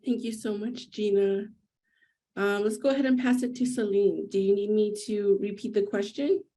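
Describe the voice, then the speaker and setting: Polite, mellow-voiced woman moderator. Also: medium pitch, fairly even intonation, normal speed, poor audio. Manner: polite, accommodating. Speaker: panel moderator. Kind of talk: moderating a panel.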